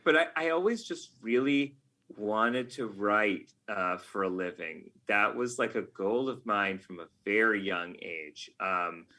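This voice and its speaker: Bright, forceful man, lowish voice, casual interview. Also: lively intonation, unhurried. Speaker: podcast guest, game writer. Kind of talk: casual interview talk, reflective anecdotes.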